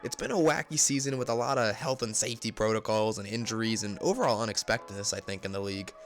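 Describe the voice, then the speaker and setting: A young man with a congested, slightly nasal voice giving casual sports commentary, reeling off player statistics. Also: fairly low pitch. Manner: stat-heavy, rapid listing of numbers. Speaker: basketball video creator.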